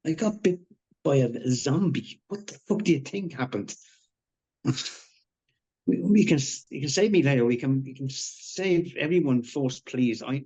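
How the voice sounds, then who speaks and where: A male actor plays a wounded man in the middle of a crisis in a screenplay drama. He pushes his words out urgently and haltingly, with bitter sarcasm. The audio is dull and low in fidelity, like an online call. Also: darkish tone, close to an everyday voice. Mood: sarcastic, strained. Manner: halting, urgent, foul-mouthed. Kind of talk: dramatic live screenplay table read.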